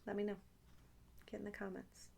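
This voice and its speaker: A woman's voice: medium pitch, completely flat delivery, fairly quick, creaky, darkish tone, a little hiss or hum. A woman chatting casually and intimately to the listener as an ASMR host, with playful, teasing asides.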